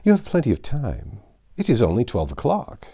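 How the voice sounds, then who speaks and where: A man's voice: mid-range voice, wildly swooping pitch, dark tone, husky, voice pushed up, exaggerated voice. Man playing a sly, probing detective. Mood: helpful, patient. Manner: inquisitive, measured, politely prodding. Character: shrewd, suspicious male detective, probing slyly.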